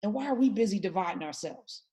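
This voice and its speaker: A woman's voice: medium pitch, lively intonation, fast talker, dark tone, slightly rough. A woman hosting a talk show, speaking with emphatic, passionate conviction in casual political discussion.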